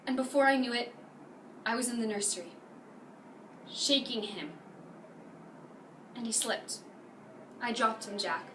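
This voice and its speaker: A woman's voice: on the high side, measured pace, long pauses. A woman playing a distraught, defensive young mother in an intense, pleading dramatic monologue. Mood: anguished. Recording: roomy, distant mic, loud background noise.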